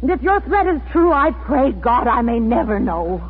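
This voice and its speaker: Casting: a fugitive's steadfast wife, solemn and anguished, animated pitch, vintage radio play. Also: female voice, normal speed, loud music behind the voice. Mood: anguished defiance. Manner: solemn, dramatic. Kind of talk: 1940s radio drama acting. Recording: old 1940s radio, tinny, noisy.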